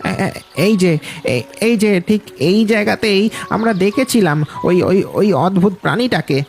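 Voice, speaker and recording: Man acting an anxious high-voiced village fisherman. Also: lively intonation, measured pace, vocal fry, faint music behind, full character voice. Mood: nervous. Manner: stammering, plaintive. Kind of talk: animated ghost story acting.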